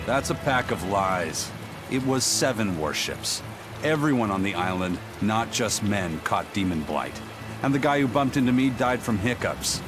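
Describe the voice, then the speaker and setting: A cursed pirate in a video game. His voice sits fairly low, gruff and stoic, delivering everything in a blunt, matter-of-fact deadpan while music plays behind. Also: unhurried.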